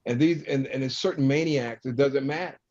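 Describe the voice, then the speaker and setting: A man's voice: medium pitch, a little fast, a little mellow, muffled recording. A man arguing in an impassioned, insistent way in a panel discussion, often repeating himself. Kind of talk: heated political discussion.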